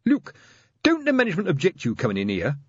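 A stolid, prudish male sergeant, played by a male narrator, sounds uneasy and disapproving. His pitch is raised and swings widely in this audiobook performance. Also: huge pitch swings, quick pace, breathy edge, no echo, lo-fi sound, heavily acted. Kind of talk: audiobook character acting.

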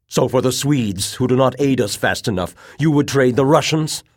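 A man's voice: mid-range voice, normal speed, no room sound, clean studio sound, pitched above the performer's own voice, close to an everyday voice. A man voicing a loyal, formal colonel in an audiobook, brittle with cold disdain and gravity. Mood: indignant. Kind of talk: dramatized audiobook dialogue.

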